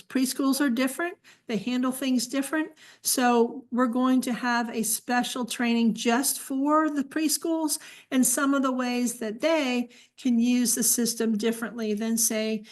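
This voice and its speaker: Higher-voiced woman presenting a briefing. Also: fairly even intonation, measured pace. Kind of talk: informal presentation and answering questions.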